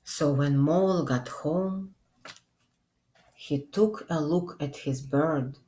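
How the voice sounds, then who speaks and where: A middle-aged woman reads a picture book at storytime in a low voice. She goes very slowly and addresses young children gently and expressively. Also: low-quality recording. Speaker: children's librarian. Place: small indoor room.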